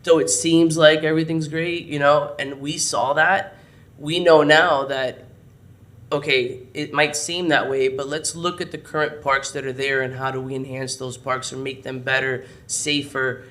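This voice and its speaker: A man, a parks department director, explains programs at a city meeting while hoarse and losing his voice. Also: fairly high pitch, a little slow. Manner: explanatory, steady briefing. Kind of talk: briefing at a public meeting. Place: city council chamber.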